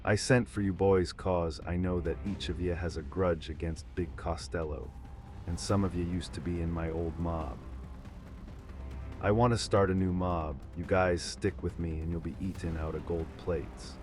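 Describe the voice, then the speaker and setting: A man's voice: sits low, fairly even intonation, moderate pace, darkish tone, faint music behind, close to an everyday voice. A man playing a ruthless, swaggering gangster boss with tough mobster talk in a comic dub. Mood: commanding. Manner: tough-talking mobster delivery. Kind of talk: motion comic dub of 1940s gangster story.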